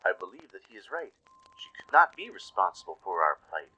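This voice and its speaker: Man voicing a calm, formal rhyming zebra. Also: deep voice, brisk, slightly rough, lo-fi sound, full character voice. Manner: formal, speaks in rhyme, conciliatory. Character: pink-striped male zebra, calm rhyming peacemaker.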